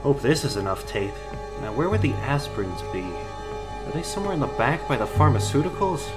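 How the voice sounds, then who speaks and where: A man's voice: medium pitch, sing-song, moderate pace, loud music behind the voice, natural voice. A man playing an obedient henchman robber in an audio drama. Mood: dutiful, muttering.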